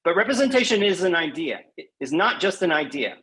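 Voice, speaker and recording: A man's voice: high voice, big pitch swings, fairly quick, bright, forceful tone. A man speaking as a guest poet in a podcast interview, thoughtful and emphatic, often restating a point for weight.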